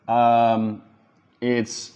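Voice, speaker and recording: A man's voice: mid-range voice, completely flat delivery, very slow, darkish tone. A man with a Dutch accent, a business coach talking casually and directly to viewers in an advice video. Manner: direct, informal, addresses viewers as guys.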